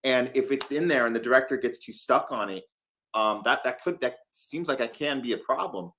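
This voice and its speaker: A man interviewing in a conversational way, haltingly repeating words as he works out his thoughts. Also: medium pitch, fairly bright tone. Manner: halting, repeats words while working out questions.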